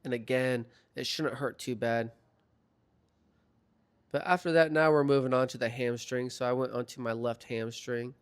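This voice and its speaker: Young man, hoarse chatty voiceover. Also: medium pitch, normal speed. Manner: relaxed, chatty, filler-heavy. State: slightly hoarse from recovering from illness. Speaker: fitness vlogger. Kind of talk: casual instructional voiceover. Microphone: voiceover mic.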